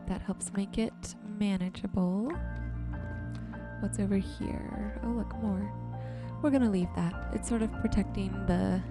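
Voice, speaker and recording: A woman doing casual, soft-spoken ASMR commentary while playing a game, murmuring to viewers in an unhurried way. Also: mid-range voice. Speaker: video creator and host. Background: music.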